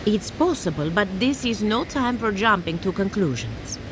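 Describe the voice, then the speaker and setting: A woman's voice: medium pitch, expressive, normal speed, noisy background, lightly acted. An actress voicing a cheerful, eccentric woman necromancer farmer in a video game, theatrical and breezy about gruesome things. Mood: fond, resigned. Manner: theatrical, breezy, matter-of-fact about gore. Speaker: quest-giving farmer.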